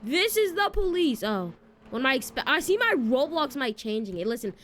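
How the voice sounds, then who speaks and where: Female game presenter, high and very animated, informal walkthrough commentary aimed straight at viewers. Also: moderate pace, a little hiss or hum. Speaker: video game streamer and presenter. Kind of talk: casual gameplay walkthrough commentary.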